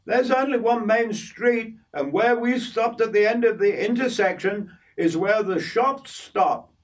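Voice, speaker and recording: A man's voice: high voice, a little slow, distant mic, voice pushed up. A man voicing a blunt, bossy middle-aged farm wife from the Outback. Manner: blunt, matter-of-fact.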